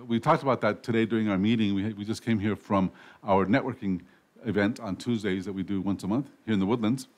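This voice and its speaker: A man hosting a casual radio interview show, rambling with frequent restarts and self-corrections. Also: fairly low pitch. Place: radio studio.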